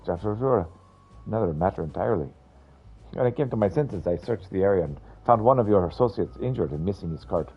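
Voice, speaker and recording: A man's voice: low-pitched, breathy edge, muffled recording, exaggerated voice. A man voicing a formal, fearful adventurer who tells things in florid, dramatic style. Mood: earnest, formal. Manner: grandiloquent, dramatic.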